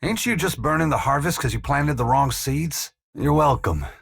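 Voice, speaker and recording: An actor playing a humble, weary dead farmer-saint in a fantasy video game, plain-spoken yet solemn, with a gravelly, husky voice. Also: male voice, a little high, lively intonation, moderate pace, fairly bright tone, no echo, studio quality, heavily acted. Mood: regretful. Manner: plain-spoken rustic phrasing mixed with solemn archaic oratory. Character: humble dead farmer-saint, once god-touched, wry, earnest and weary. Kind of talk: dramatic fantasy game dialogue.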